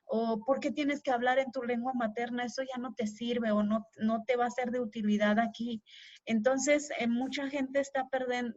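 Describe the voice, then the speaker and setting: A Mexican woman whose first language is Tének, speaking earnestly and haltingly as an invited guest in an online interview. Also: fairly high pitch, no room sound, dull audio. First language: Tének (Huastec). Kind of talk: earnest interview answers in a live online talk.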